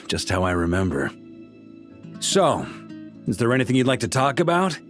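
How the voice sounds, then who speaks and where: A seasoned knight commander from a video game, played by a male actor, easygoing and wry. His mid-pitched delivery moves with plenty of variation. Music plays underneath. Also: very animated, normal speed, lightly acted. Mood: relieved, warm. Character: seasoned, easygoing knight grandmaster home from expedition. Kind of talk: video game character dialogue.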